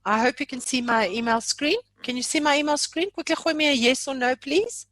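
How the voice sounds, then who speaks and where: High-voiced woman leading an informal software webinar. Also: brisk, no echo. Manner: chatty, playful, exaggerating. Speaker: software trainer leading a live online session. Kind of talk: informal live webinar walkthrough.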